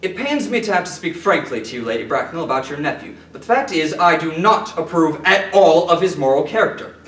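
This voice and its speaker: A man performing a comic period monologue as an indignant, pompous Victorian gentleman, orating formally. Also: a little high, expressive, a little fast. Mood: affronted, haughty. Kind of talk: theatrical period comedy monologue. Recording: roomy, distant mic, background noise.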